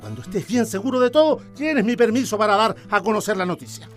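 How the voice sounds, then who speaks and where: A man's voice: sits high, expressive, fast, faint music behind. An actor with a Chilean accent playing a cautious, authoritative newspaper director in a radio drama, speaking emphatically and repeating his words. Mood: commanding. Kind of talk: Spanish-language radio drama acting.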